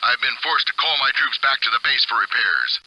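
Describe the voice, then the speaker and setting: An actor playing a formal, commanding military general giving measured, authoritative announcements over a radio in a video game. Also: male voice, mid-range voice, lively intonation, no echo, highs cut off.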